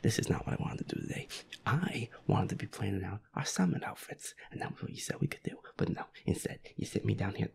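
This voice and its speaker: Male actor as feisty boyfriend in a gaming roleplay: low, hushed, breathy muttering, repetitive grumbles and swearing. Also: animated, fast. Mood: exasperated, grumbling. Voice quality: breathy, often half-whispered. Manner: muttering, whiny, sweary complaints, repeats himself. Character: feisty werewolf boyfriend grumbling through a scary video game. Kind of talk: roleplay audio, improvised gaming chatter.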